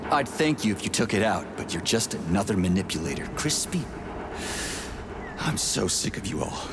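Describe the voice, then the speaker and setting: A man playing an exasperated, gruff soldier hero in a video game, speaking bluntly and sarcastically. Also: lowish voice, big pitch swings, moderate pace, heavy background noise. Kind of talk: video game character acting.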